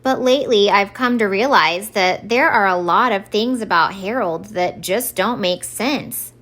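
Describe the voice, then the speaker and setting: A woman's voice: mid-range voice, measured pace, a bit bright. A woman voicing a mopey, suspicious, stubborn old Santa Claus in a children's storybook, dramatic and insistent. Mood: choked, dramatic. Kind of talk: expressive children's storybook read-aloud.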